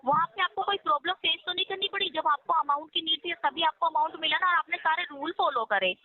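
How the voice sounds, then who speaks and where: A woman's voice: very high voice, quick pace. A woman working as a loan recovery call-centre agent, talking persuasively and insistently on the phone, explaining politely and often checking for agreement. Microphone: telephone line. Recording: tinny phone call recording, faint music.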